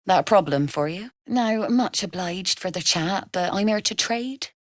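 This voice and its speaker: A woman's voice: mid-range voice, expressive, moderate pace, no room sound, clean studio sound, close to an everyday voice. An actress playing a shrewd, amoral female smuggler-merchant in a video game, with a sly, businesslike manner. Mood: wry, cool. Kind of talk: video game character acting.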